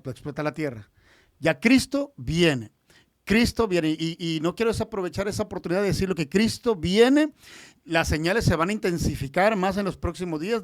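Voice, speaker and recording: Male preacher guest, earnest and urgent, slightly high voice, dry close sound. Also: no echo. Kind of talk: casual podcast conversation.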